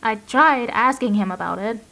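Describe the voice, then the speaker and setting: Audio drama: uneasy, guarded young mother, fairly high voice, halting confessional monologue, emotionally raw. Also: gravelly, sharp tone, poor audio. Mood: quiet, worried. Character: anxious, guarded young woman, reluctant new mother recording a diary.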